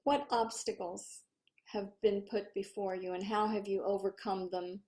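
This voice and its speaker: A woman hosting a podcast interview, asking measured questions. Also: mid-range voice, steady tone, mellow. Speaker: podcast host.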